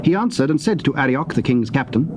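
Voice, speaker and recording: A man's voice: medium pitch, sing-song. Solemn male scripture narrator, noisy tape. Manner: measured, formal, solemn. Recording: noisy old cassette.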